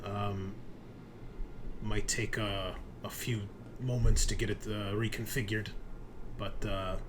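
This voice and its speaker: A man voicing a frustrated, terse female crew technician in a tabletop game, speaking in halting, curt phrases. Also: on the low side, level tone, noisy background. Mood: matter-of-fact.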